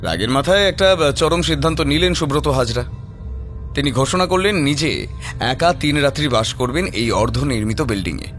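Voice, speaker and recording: A man's voice: mid-range voice, lively intonation, normal speed, fairly bright tone, soft background music. A man narrating a scripted horror audio story in a storytelling style.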